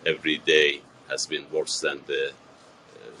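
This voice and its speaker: A man, answering a TV reporter's questions in a halting, earnest way with many fillers and restarts. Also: extremely low pitch, level tone, bright, forceful tone, slight background noise. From Iran. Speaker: interviewee. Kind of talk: TV news interview.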